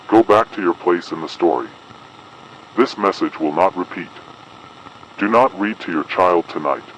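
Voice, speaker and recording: A man playing an official announcer delivering a measured, formal, ominous emergency advisory in an analog horror broadcast. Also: deep voice, a little hiss or hum, poor audio. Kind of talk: scripted analog horror public broadcast narration.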